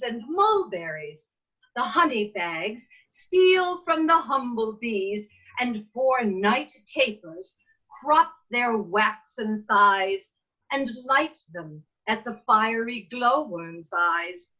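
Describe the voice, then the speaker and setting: Woman as a doting, commanding fairy queen, slow stately verse, high voice, table read, dull video-call sound. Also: pushed and bright, exaggerated voice. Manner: theatrical, measured verse delivery. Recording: muffled video call audio.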